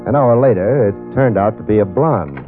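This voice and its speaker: A man's voice: lowish voice, normal speed, close to an everyday voice. A man playing a wisecracking private eye. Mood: wry. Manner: wry, wisecracking. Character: wisecracking male private detective narrator. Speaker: narrator. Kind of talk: 1940s radio acting. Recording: tinny old radio broadcast with music.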